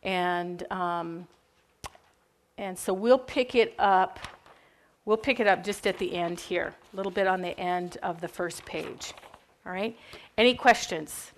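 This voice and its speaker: A woman's voice: lowish voice, a little slow, a little hiss or hum. A woman with a Minnesota accent teaching church history to a class in a chatty, informal way, full of fillers and asides. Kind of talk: classroom lecture. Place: classroom with a live group.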